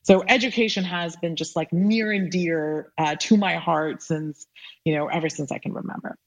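A woman's voice: fairly low pitch, steady tone, moderate pace. A young woman entrepreneur from Pakistan, talking earnestly and reflectively as a podcast guest, with frequent fillers. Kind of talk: casual interview talk.

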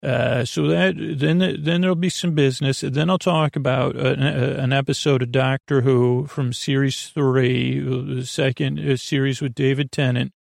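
A man hosting a bedtime podcast in a rambling monologue, with a gravelly voice and a bumbling way of circling around his point. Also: mid-range voice, fairly even intonation, measured pace, hardly any pauses, no echo, clean studio sound. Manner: meandering, bumbling, trailing off and circling back. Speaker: podcast host.

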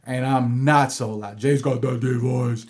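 A man co-hosting a chatty podcast, with a voice at an ordinary middle pitch. He meanders through his thoughts, restarting sentences and leaning on fillers in an easygoing way. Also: unhurried. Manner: relaxed, rambling, many fillers and restarts. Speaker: podcast co-host. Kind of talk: casual chat.

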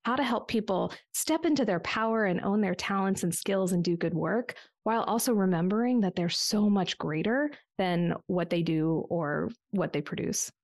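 A middle-aged American woman telling her personal story reflectively in an interview, articulate and measured. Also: medium pitch, darkish tone, dry recording, studio quality. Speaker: interview guest, coach and former therapist.

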